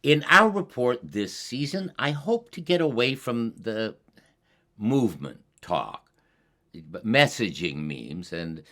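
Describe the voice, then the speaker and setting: An older man hosting a community show, giving a scripted introduction in an earnest, deliberate, halting way. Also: medium pitch, big pitch swings, pushed and bright. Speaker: host of a community climate show.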